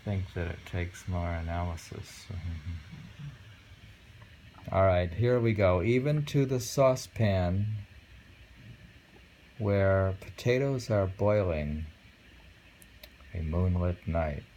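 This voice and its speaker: A man reading short poems aloud and chatting about them casually, musing and pausing over the lines. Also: sits low, dark tone, slight background noise. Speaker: poetry reader and host. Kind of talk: casual poetry reading and chat.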